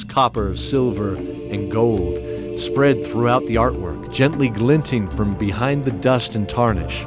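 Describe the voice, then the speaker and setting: A man narrating a fantasy audio drama as its host, in a steady storytelling voice. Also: fairly low pitch. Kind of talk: scripted narration. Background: music bed.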